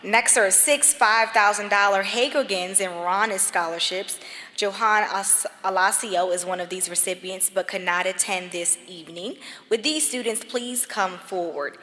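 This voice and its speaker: A woman's voice: mid-range voice, normal speed, pushed and bright. A woman reading out scholarship award citations at a ceremony in a large church. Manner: apologetic over name mistakes. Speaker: awards presenter. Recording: reverberant church hall.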